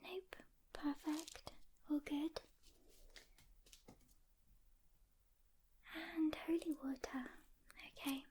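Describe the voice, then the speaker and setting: Girl playing a calm tired lady demon hunter, whispery asmr, high soft voice, takes her time, mentoring a newbie. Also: takes it slowly, a little hiss or hum. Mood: reassuring, careful. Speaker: ASMR roleplay performer.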